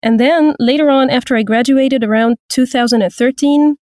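A woman in her thirties from Egypt, a voice actor and language teacher, speaking thoughtfully with frequent pauses and restarts in an interview. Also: high-pitched, a little mellow, no room sound, studio quality. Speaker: guest, voice actor and Turkish teacher. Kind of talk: casual interview.

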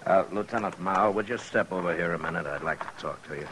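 An actor playing a calm, stern frontier marshal in a 1950s radio western, firm and understated. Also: male voice, low-pitched, fast, background noise, lightly acted. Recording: vintage radio recording, murmuring crowd.

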